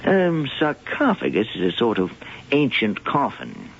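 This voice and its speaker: An actor playing a learned museum professor in a radio drama, speaking deliberately with hesitant pauses. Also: male voice, mid-range voice, wildly swooping pitch, gravelly, a little hiss or hum, heavily acted. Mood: scholarly, guarded. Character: learned, measured male museum professor, expert on cats. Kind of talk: old-time radio drama acting. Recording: dull old radio drama recording.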